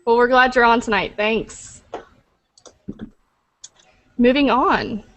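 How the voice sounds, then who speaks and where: Woman cohost, kinda high voice, upbeat, introduces folks. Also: takes it slowly, a bit bright, slight background noise, low-quality recording. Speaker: co-host. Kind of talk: casual group video chat.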